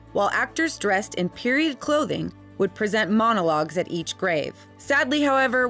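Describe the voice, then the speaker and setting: A woman reads scripted voice-over for a local history series. Her mid-pitched voice rises and falls widely, with clear, careful enunciation. Faint music plays underneath. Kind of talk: scripted narration.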